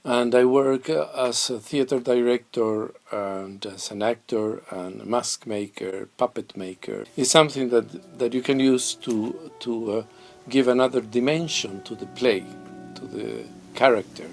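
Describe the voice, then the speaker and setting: A middle-aged man from Peru, answering interview questions in a thoughtful, halting way, with many pauses and restarts, seated in his mask and puppet workshop. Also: medium pitch, gravelly, faint music behind. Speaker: theatre director and mask maker. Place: workshop full of masks and puppets, indoors.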